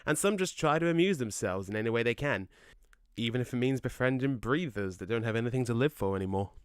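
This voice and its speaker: Male actor for brash, slangy ghost pal, audio drama. Lowish, very animated delivery, easygoing yet quick-tempered. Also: smooth, no echo, studio quality, close to an everyday voice. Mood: cocky, chatty. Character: laid-back, cocky male ghost, a century dead, quick-tempered. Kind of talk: conversational audio drama acting.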